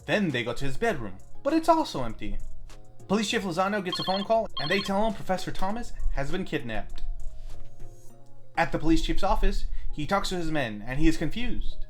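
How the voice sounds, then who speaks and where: A man's voice: on the high side, big pitch swings, a little fast, soft background music. A man hosting a film review, reading a scripted plot recap and adding wry commentary of his own. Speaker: film review show host.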